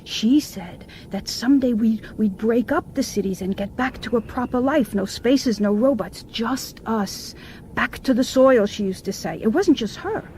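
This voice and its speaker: A woman's voice: on the high side, measured pace, darkish tone, a little hiss or hum, lightly acted. An actress in a radio drama playing an anxious, guilty wife, hesitant and pleading as she speaks. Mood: hesitant, confessing. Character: anxious, guilt-ridden wife confessing to her husband.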